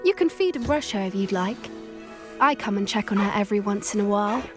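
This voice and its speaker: An actress playing a kind young student who cares for magical creatures, speaking in an earnest, confiding way. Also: female voice, medium pitch, expressive, a little fast, natural voice. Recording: game audio with music behind.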